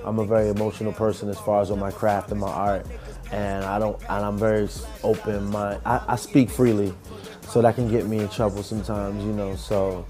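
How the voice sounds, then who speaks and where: A young rapper talks in a loose, rambling way, his voice smooth, low and mellow. He gets animated while he tells a story. Soft music plays behind him. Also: fairly quick. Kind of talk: casual interview talk.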